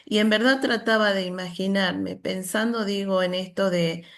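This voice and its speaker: A woman leads a workshop. She talks in a reflective, unhurried way and tells stories as she goes. She often adds a questioning "¿no?" to check in with her listeners. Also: mid-range voice, a bit bright. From Argentina. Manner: reflective, storytelling, many "¿no?" tags. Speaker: workshop leader. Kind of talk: online workshop presentation.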